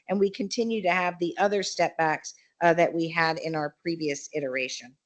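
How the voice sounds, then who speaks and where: Woman presenting a building plan at a county meeting, lowish voice, steady and explaining, lots of uhs. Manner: measured, explanatory, with frequent fillers. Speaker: developer representative presenting a project. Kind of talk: formal presentation at a public meeting.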